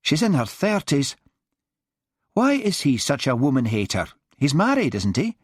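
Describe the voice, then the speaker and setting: A man voicing a lazy but shrewd policeman with a Scottish Highland accent, drawling and quietly threatening. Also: wildly swooping pitch, unhurried, husky, dry recording, clean studio sound, full character voice. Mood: curious.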